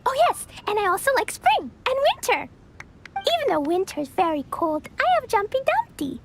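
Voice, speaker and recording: Very high-pitched, bubbly little girl. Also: very animated, normal speed, full character voice. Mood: playful, earnest. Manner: childlike, earnest, bubbly. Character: cheerful little girl fond of blowing things up. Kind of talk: video game character acting.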